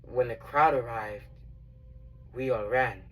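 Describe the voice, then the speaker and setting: Man performing a reverent male disciple, dramatic monologue, slow and husky, long reflective pauses. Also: mid-range voice, recorded from a distance, low-quality recording. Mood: grave, sorrowful.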